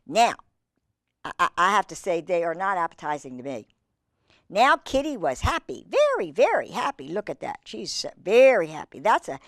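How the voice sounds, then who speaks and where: A woman hosting a children's storytime, reading aloud with drawn-out emphasis and chatting in asides to young listeners. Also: mid-range voice, no echo, studio quality. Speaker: host reading picture books to children.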